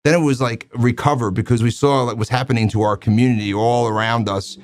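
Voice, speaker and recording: A man hosting an interview, asking questions in a halting way with repeated words and many fillers. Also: medium pitch, steady tone, no room sound, studio quality. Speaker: interview host. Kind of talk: conversational interview.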